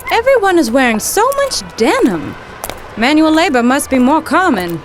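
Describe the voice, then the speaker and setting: An actress playing a plucky, practical young woman from the 1930s in a comic audio drama, brisk and matter-of-fact. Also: high voice, very animated, soft background music. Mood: puzzled. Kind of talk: period audio drama comedy acting.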